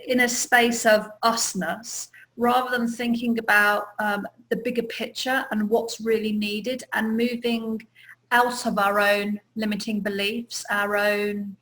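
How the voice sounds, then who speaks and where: Brief: woman interview host, higher voice, reflective questions with searching pauses. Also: level tone, fairly bright tone, poor audio. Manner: thoughtful, probing, pauses to search for words.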